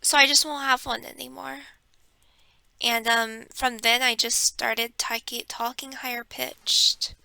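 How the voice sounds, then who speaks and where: Young woman vlogger, hushed storytelling, sharp bright tone with gravelly edges, dry close mic. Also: on the high side, level tone, measured pace, no echo. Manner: hushed and reflective, with many ums. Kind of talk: personal storytelling vlog. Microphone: close desk microphone.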